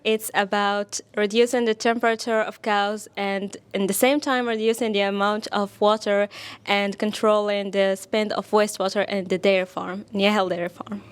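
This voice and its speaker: A woman's voice: mid-range voice, level tone, normal speed, smooth. A young woman from Morocco, a student answering interview questions hesitantly. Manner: hesitant, with fillers and small laughs. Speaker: student, hackathon winner.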